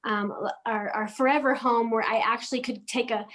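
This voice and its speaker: A woman's voice: a little high, fairly even intonation, moderate pace, a bit bright. A woman raised in Denmark, a guest on a home decor show, talking in a friendly, chatty way with frequent ums and restarts. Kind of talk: casual interview chat.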